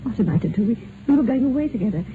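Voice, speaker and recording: A woman's voice: on the high side, animated, rapid-fire, close to an everyday voice. An actress playing a desperate, well-bred woman in 1950s radio drama, faltering and theatrical. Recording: faintly noisy, narrow-band 1950s radio broadcast.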